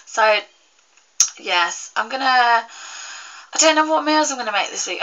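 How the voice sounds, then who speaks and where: A young woman vlogging in a casual, rambling chat to camera, full of fillers and restarts, her voice a little croaky and congested from a cold. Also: medium pitch, pushed and bright, low-quality recording. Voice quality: slightly croaky.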